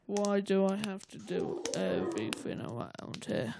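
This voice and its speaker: Woman acting a blunt, slow-spoken grumpy ticket officer. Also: mid-range voice, big pitch swings, gravelly. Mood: grumpy, impatient. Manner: blunt, impatient, bossy. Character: rude, grumpy, tired taxi driver and ticket officer. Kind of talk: amateur radio play acting. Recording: faint background noise.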